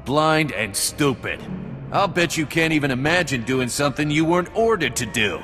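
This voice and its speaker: Man as a sneering turncoat captain, widely swinging pitch. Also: lively intonation, normal speed, lightly acted. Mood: bitter contempt. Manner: sneering, colloquial. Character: bitter, contemptuous male traitor soldier captain. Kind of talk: video game voice acting. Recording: long hall reverb, faint music.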